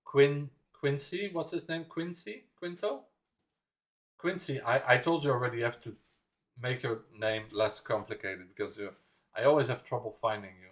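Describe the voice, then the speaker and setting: A young man from the Netherlands talks loosely on a livestream, wandering from thought to thought with frequent laughs. His voice sits at an ordinary middle pitch. He chats easily, as if to viewers he knows. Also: normal speed, fairly bright tone. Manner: rambling, chatty, laughs often, reads chat aloud. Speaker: livestream reaction host. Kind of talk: casual livestream chat.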